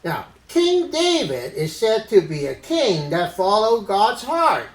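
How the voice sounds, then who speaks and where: Someone voicing an earnest orangutan puppet uncle, very expressive. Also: medium pitch, echoey room, rough recording. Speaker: host of children's Bible story show. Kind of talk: children's puppet show presenting.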